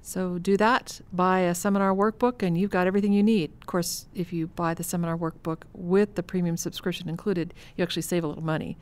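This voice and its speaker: A woman's voice: lowish voice, steady tone, fast, hardly any pauses, smooth. An American woman hosting a podcast, explaining details conversationally in a matter-of-fact, clarifying way. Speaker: podcast host.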